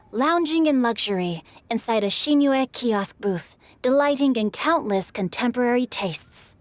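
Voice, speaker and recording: Refined, lightly pitched bookish boy. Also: animated, unhurried. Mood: courtly. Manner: polished, literary. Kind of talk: scripted game dialogue.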